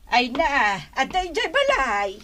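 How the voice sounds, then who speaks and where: A woman's voice: high-pitched, wildly swooping pitch, slow pace, sharp tone, heavily acted. An actress playing an older country mother in a radio drama, bewildered and querulous, with an Ilocano accent. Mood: grumbling. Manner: querulous, exclamatory.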